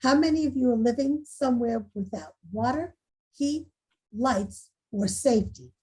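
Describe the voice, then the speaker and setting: A woman's voice: on the high side, normal speed, mellow, slightly rough, rough recording. A woman playing an earnest, determined school principal making a rousing speech in a screenplay table read. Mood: probing, earnest. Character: earnest, determined female school principal rallying staff and students.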